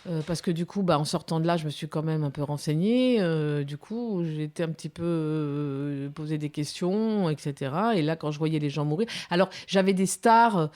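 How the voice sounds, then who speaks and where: A woman's voice: deep voice, moderate pace, few pauses, darkish tone. A woman in her fifties, a documentary filmmaker, giving reflective interview answers with many hesitations and restarts. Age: 50s.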